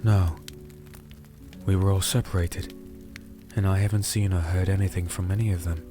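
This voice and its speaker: An actor playing a weary, disenchanted crusader who narrates a dark fantasy audio drama in a slow, solemn, gentle way. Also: male voice, low-pitched, steady tone, close to an everyday voice. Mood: earnest, subdued. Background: music with rain sounds.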